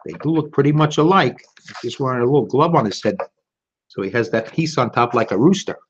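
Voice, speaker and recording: A man's voice: medium pitch, fairly quick, slightly rough. A man reading a children's story aloud expressively, with casual asides directly to young listeners. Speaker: storytime reader. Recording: dull lo-fi recording.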